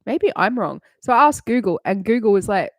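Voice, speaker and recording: Woman, late 30s, streamer: candid, sweary, rambling chat, mid-pitched, dry close sound. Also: animated, a little fast, no room sound. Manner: candid and rambling, swears freely, laughs often. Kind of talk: casual chat on a live stream.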